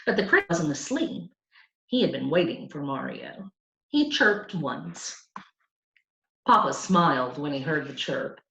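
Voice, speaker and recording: A woman reading a children's novel aloud as a storyteller, with an animated, deliberate delivery. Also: low voice. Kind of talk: expressive scripted audiobook narration for children. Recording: roomy, dull lo-fi home recording.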